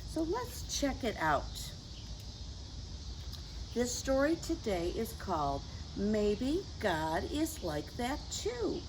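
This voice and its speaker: A woman reading a picture book to young children in a gentle, teacherly way, with chatty asides to her listeners. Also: on the high side, background noise. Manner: gentle teacherly address, tag questions to the listeners. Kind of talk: read-aloud story time for young children with chatty asides. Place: outdoors.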